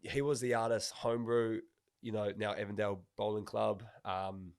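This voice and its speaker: A man's voice: fairly low pitch, little pitch movement, moderate pace, smooth. A man with a New Zealand accent hosting a casual chat, informal, agreeable and slangy in his talk. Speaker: podcast host.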